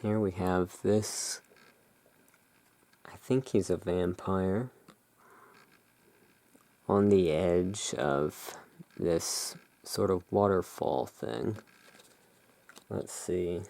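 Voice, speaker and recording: A person giving a relaxed, musing ASMR commentary as a tarot reviewer, talking in an unhurried, unscripted way. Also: low-pitched. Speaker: tarot reviewer in a roleplay as emporium owner.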